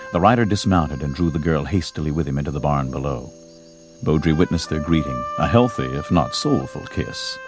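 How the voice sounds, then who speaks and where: A man narrating a scripted western story in a measured, dramatic storytelling manner. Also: a bass voice. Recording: music under the narration. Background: music.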